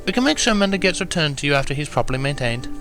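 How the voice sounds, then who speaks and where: Here is a refined female unicorn from a cartoon story, acted out by a man. The voice is lifted high and moves up and down with polite care. It is proper, composed and dignified throughout. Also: sing-song, rapid-fire, a bit bright, faint music behind. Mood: soothing. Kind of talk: cartoon fan fiction character reading.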